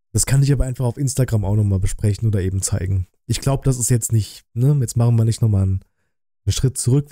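A man's voice: mid-range voice, dark tone, no echo. A man, giving casual livestream commentary as a reaction host, close to the microphone indoors. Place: indoor room at home. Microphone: close streaming microphone.

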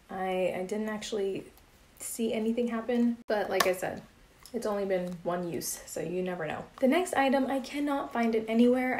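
A woman's voice: a little high, warm tone, reverberant. A young woman reviewing beauty products in a casual, chatty way, full of ums and likes, her voice worn from losing it. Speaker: beauty vlogger. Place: indoor room with plain grey wall.

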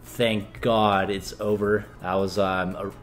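A man's voice: on the low side, level tone, slow pace, faint music behind. A man in his twenties co-hosting a casual film review in a small living room, talking with exasperated scorn. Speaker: film review show co-host.

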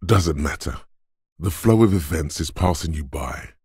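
A rumbling, very deep macho coach. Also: male voice, expressive, a little slow, darkish tone, no echo, clean studio sound, full character voice. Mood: gruff, steady. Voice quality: gravelly, husky. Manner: commanding, taunting, blunt. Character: gruff, macho drill-coach inner voice of physical strength. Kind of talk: video game voice acting.

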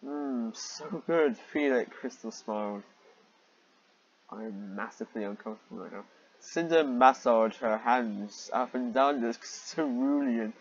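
A man's voice: mid-range voice, measured pace, lo-fi sound, slight background noise. A man reading amateur fiction aloud with sarcastic commentary and exasperated, incredulous asides.

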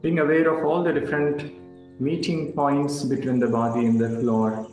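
A man leading a guided relaxation as a yoga instructor, speaking calmly in short instructional phrases with pauses. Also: medium pitch. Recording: roomy echo with music underneath.

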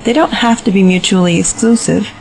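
A woman's voice: mid-range voice, a little fast, vocal fry, darkish tone, slight background noise, lightly acted, low-quality recording. A woman playing a practical, open-minded business investor in an audio drama, polite and reasonable. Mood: wry, firm.

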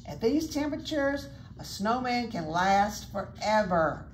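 A woman voicing the chilling, booming loudspeaker voice of a factory in a children's storybook, commanding and raised above her usual pitch. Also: very animated, measured pace, roomy sound, a little hiss or hum.

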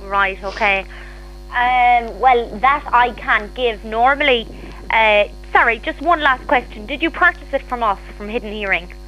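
A woman's voice: on the high side, unhurried, sharp tone, faint music behind, rough recording. An Irish-accented woman handling a customer service call, patiently repeating and clarifying as a shop receptionist. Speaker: hearing aid shop receptionist.

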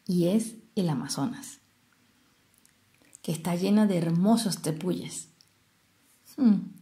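A Venezuelan woman narrates as a storyteller, with a soft, mellow tone and a medium pitch. She is expressive and instructive, pausing to explain words to her listeners. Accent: Venezuelan Spanish. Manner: didactic, explains terms with rhetorical questions and pauses. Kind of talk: expressive narration of a traditional folk tale.

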